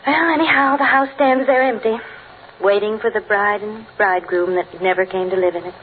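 An American actress playing a gentle, chatty middle-aged wife and mother in 1940s radio comedy style. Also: mid-range voice, steady tone, a little fast, natural voice. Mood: gossipy, hushed concern. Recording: hissy, narrow-band old radio recording.

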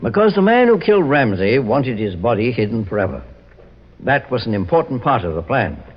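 A shrewd private detective from 1940s radio. He is authoritative and accusing, with animated intonation and a husky edge, in a reverberant old recording. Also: mid-range voice, lo-fi sound. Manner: authoritative, deliberate explaining.

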